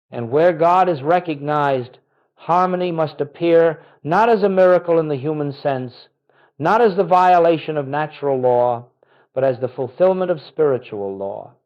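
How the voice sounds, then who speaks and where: A man delivering a scripted guided contemplation as a spiritual teacher, solemn and reverent, with measured, deliberate phrasing. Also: a little high, highs cut off.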